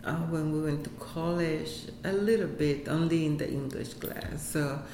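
A woman from Puerto Rico whose first language is Spanish speaks English with a Puerto Rican accent, answering interview questions in a halting way with many fillers and laughs. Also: deep voice, dark tone. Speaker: interviewee, health center employee. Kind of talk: casual interview. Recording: echoey room, faint background noise.

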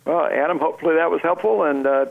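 A man speaking as a doctor on a call-in radio interview, relaxed and plain-spoken with many fillers. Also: on the high side, fast, creaky, no room sound. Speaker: sports medicine doctor, phone-in guest. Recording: tinny phone line.

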